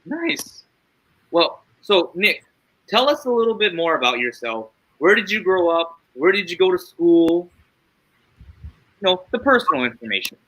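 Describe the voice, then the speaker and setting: A man hosting a theater livestream, chatting in a friendly, upbeat way and reacting with lively interjections. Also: high-pitched, normal speed, low-quality recording. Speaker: host. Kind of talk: casual interview chat.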